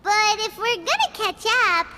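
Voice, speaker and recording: A woman voicing a tiny, excitable female fairy companion in a video game, with a squeaky, bubbly voice. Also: normal speed, very noisy. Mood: curious. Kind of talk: game voice acting. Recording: game audio under music.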